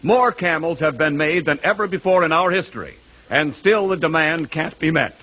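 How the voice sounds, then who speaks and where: A man's voice: fairly high pitch, moderate pace, sharp tone. A man announcing in the style of a 1940s radio advertisement, emphatic and declamatory, on an old broadcast recording. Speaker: radio announcer, sponsor pitchman.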